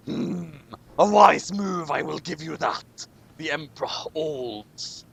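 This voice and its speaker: High-pitched guy reading silly fanfic out loud, really animated, trips on lines and starts over. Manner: stumbles over lines and repeats them. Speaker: host of a comic fan fiction reading. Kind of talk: dramatic reading with mocking commentary.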